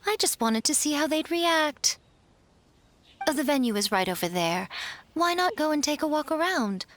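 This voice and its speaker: A woman's voice: sits high, big pitch swings, normal speed, a bit bright, slight background noise. A woman voicing a sly, vain and elegant shrine maiden in a video game, poised and playfully teasing. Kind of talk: animated video game dub acting.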